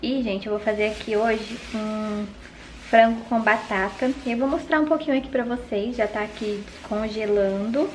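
A young Brazilian woman with a fairly high voice talks casually to her viewers as a vlogger. She records at home on a handheld camera, with faint noise in the background. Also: fairly even intonation, moderate pace, poor audio. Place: at home, covered garage and kitchen.

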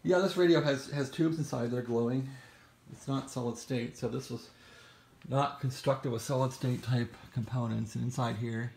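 An American man, middle-aged or older, giving a casual, rambling, unscripted show-and-tell in a husky, gravelly, musing voice. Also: medium pitch, fairly even intonation, moderate pace, darkish tone. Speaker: vlogger showing a vintage radio. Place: bedroom in a house. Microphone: handheld camera, close behind it.

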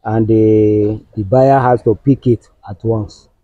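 A middle-aged man with a Nigerian accent, patiently explaining farm work outdoors with halting speech and many fillers. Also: fairly low pitch, fairly even intonation, no room sound. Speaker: farm worker. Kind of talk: explaining farm practice on a walking tour. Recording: dull lo-fi sound.

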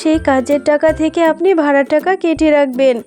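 A woman's voice: high voice, steady tone, fairly quick, a little mellow, lightly acted. A woman voicing a poor, humble mother fox in a children's cartoon, earnest and deferential. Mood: pleading. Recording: music behind the voice.

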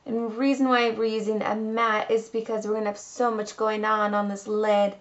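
A woman's voice: fairly high pitch, level tone, moderate pace, highs cut off. A woman in her twenties giving a casual, chatty makeup tutorial as a beauty vlogger, recorded at home on a camera mic. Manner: chatty, informal. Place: small room at home.

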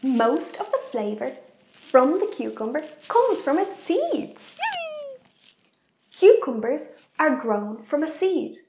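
A young woman hosting a kids' cooking show, presenting facts in an animated, deliberate way. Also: sits high, over music. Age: young adult. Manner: animated, expressive, deliberate for kids. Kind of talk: children's educational presenting. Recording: echoey room.

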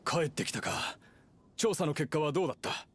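Blunt mine foreman, slightly high-pitched man acting. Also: a little fast, slight background noise, natural voice. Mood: expectant. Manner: blunt, casual working-man speech. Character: plain-spoken, worried middle-aged male mine foreman. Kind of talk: anime-style video game dialogue. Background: background music.

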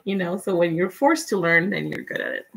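A young woman from Guatemala whose first language is Spanish, chatting relaxed and giving step-by-step tips in a live painting tutorial. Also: on the low side, a little fast, creaky, poor audio. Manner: relaxed, chatty, laughs often, answers viewers' comments. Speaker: painting instructor.

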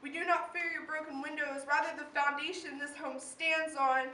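A young female slam poet recites with solemn intensity and measured phrases. Her pitch is very high and her tone bright. The recording is echoey, as if from across the room. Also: steady tone. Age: teen or young adult. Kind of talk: spoken word slam poetry performance. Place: live poetry event in a room. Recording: echoey room, distant mic, faint noise.

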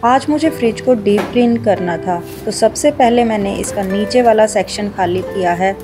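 A woman with an Indian accent giving a calm, step-by-step voice-over for a home cleaning video. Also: medium pitch, moderate pace. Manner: explains each step in a steady how-to tone. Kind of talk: calm scripted voice-over narration. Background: background music.

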